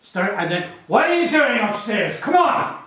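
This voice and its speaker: A man's voice: extremely high pitch, very animated, pushed and bright. Distant man directing in echoey studio. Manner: instructive, brisk. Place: recording studio.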